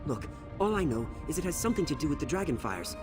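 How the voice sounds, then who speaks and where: A bookish video game archivist with a fairly high voice. He is earnest and easily flustered, explaining himself like an anxious scholar, with clear music playing behind him. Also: sing-song, quick pace. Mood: relieved, earnest. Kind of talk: video game character dialogue.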